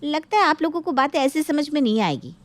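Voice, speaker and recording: A woman's voice: high-pitched, very animated, a little fast, voice pushed up. A woman voicing a greedy, haughty grown daughter in a moral story, scolding and threatening imperiously. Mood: angry, threatening. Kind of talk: dramatic moral-story acting.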